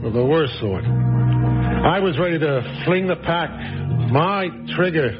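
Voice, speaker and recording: Wry old-time novelist, radio drama, dull lo-fi sound, music behind. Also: male voice, a little high, expressive, slow pace. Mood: reflective. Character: retired novelist recounting his escape, wry and reflective.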